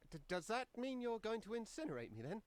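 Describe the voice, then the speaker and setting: An actor playing a timid, polite young lad with a hesitant, stammering manner in an adventure game audio drama. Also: male voice, high voice, sing-song, brisk, smooth, dry recording. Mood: flustered.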